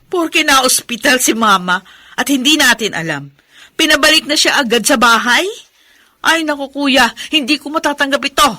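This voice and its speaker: A woman with a Filipino accent playing a haughty, resentful elder sister in a radio drama, sharp and scornful. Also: high voice, animated, normal speed, no room sound. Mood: indignant, bitter.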